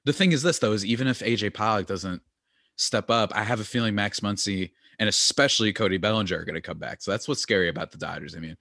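A man co-hosting a casual sports podcast, animated and quick to laugh, often mimicking fans in exaggerated voices. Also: fairly low pitch, no room sound, studio quality.

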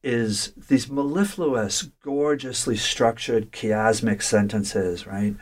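A man's voice: mid-range voice, slow pace. A man discussing a story in a thoughtful, lecture-like conversation, often restarting phrases and checking in with "right?". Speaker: literature scholar discussing a story.

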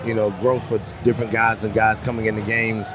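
Relaxed former player in a noisy setting. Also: male voice, lowish voice, fairly quick. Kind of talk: casual sports chat. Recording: noisy postgame stadium setting.

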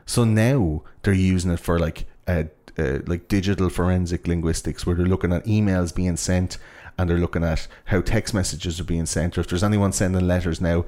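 A man's voice: deep voice, fairly even intonation, normal speed, dark tone. A young man with an Irish accent, chatting casually and explaining things in an enthusiastic, rambling, sweary way.

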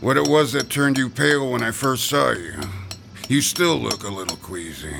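A folksy sweaty gravestone carver, gravelly. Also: male voice, mid-range voice, normal speed, over music. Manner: folksy, chatty, sighing about the heat. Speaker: stonecutter. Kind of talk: classic radio drama acting.

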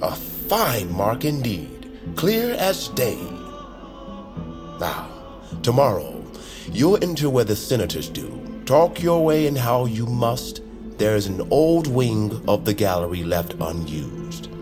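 Guy acting as conspiratorial assassin guild master, slow n theatrical, very swoopy delivery, fantasy audio drama, music behind. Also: medium pitch, very animated. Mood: sly, instructing. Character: scheming bird-folk master of a secret assassin order. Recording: music under the voice.

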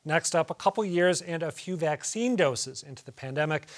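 Male radio host, slightly higher voice, brisk moderator. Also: animated. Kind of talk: public radio talk show hosting.

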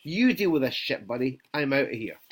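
Guy putting on a gruff weary american man, sardonic loner, put-on accent, from a novel. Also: medium pitch, sing-song, fairly quick. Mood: fed up.

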